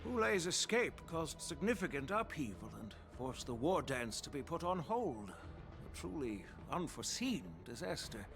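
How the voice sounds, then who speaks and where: Courteous, earnest male game official: expressive melody, gravel in the voice, music underneath. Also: a little high, measured pace. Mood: courteous, grave. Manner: ornate, ceremonious. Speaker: game character voice actor. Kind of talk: formal dramatic game dialogue. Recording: music behind the voice.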